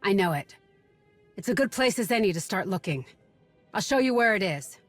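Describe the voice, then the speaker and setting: A woman's voice: mid-range voice, animated, moderate pace, fairly bright tone, no echo, soft background music. An actress playing a guarded, bitter young woman in a video game, blunt, sardonic and distrustful. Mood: determined. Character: guarded, bitter young local woman searching for missing sister. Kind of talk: video game cutscene acting.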